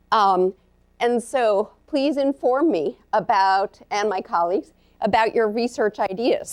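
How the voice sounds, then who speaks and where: A woman professor giving a live lecture to an audience, earnest and halting, with many ums and uhs. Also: mid-range voice. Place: lecture room.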